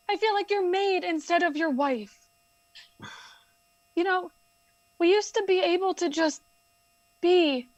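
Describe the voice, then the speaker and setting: A woman's voice: very high voice, dry recording, lo-fi sound. A woman playing a hurt, resentful wife in a radio drama, reproachful and emotionally strained.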